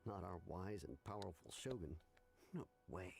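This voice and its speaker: A man's voice: sits low, lively intonation, moderate pace, vocal fry, a little mellow. An actor voicing a devoted, awestruck townsman who speaks with emphatic reverence in a video game. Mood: reverent awe. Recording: game audio with music behind.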